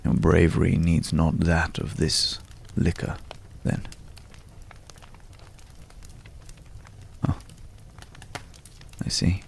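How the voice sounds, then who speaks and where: Man playing a very gravelly, slow-spoken brooding gentleman. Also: sits low, completely flat delivery, slight background noise, heavily acted. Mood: polite curiosity. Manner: archaic, florid, hesitant, pensive phrasing. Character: mysterious, courtly, world-weary man at a night campfire. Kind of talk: slow, intimate ASMR roleplay acting.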